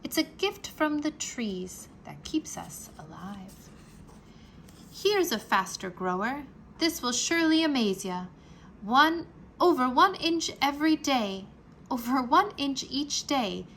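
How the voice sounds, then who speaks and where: A woman reading a children's book aloud like a teacher, expressive and carefully enunciated for young listeners. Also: a little high, slow pace, gravelly, a little hiss or hum. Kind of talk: children's read-aloud narration, rhyming nonfiction.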